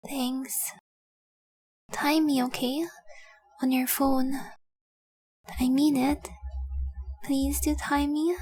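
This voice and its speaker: A woman acts out a dragon-blooded young girlfriend in a romantic audio roleplay. Her voice is high and breathy, often falling to a whisper. She speaks slowly in a narrow, even melody, lingering tenderly over her words like someone adoring and guarding a treasure she wants to keep. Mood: earnest, warm. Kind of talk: intimate romantic audio roleplay, addressed to the listener.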